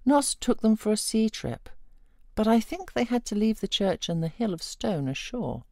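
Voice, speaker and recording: A woman reading a fairy tale aloud as an expressive storyteller. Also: mid-range voice, moderate pace, no room sound, clean studio sound.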